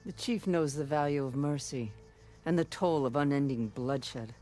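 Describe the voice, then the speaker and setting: Old grandma chaplain of a warrior tribe, solemn n measured, video game drama, faint music under it. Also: female voice, very deep voice, mellow. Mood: grave, measured. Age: older. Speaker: game character actor. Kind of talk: dramatic game cutscene acting. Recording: music behind the voice.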